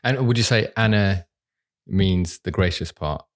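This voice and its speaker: A man's voice: deep voice, unhurried. A man hosting a podcast, chatting casually and playfully and laughing often. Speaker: podcast host. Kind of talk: casual interview chat. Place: indoor podcast set with plants.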